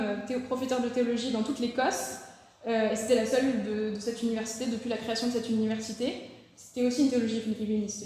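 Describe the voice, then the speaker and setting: A woman's voice: a little high, a little mellow. A young woman giving an informal theology talk, chatty and full of fillers and restarts. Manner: conversational, many fillers and restarts. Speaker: theology lecturer. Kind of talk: informal talk to a church audience. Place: church hall. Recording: very echoey hall, faint noise.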